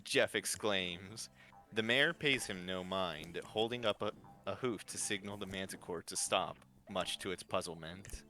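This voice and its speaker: A man's voice: sits low, a little slow, pushed and bright, faint music behind. A man narrating a fan-written story live, reading from a script with small stumbles and repeats.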